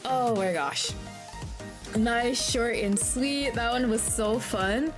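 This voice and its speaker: A woman's voice: fairly high pitch, slow. A woman playing a visual novel and giving casual let's-play reaction commentary. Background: music.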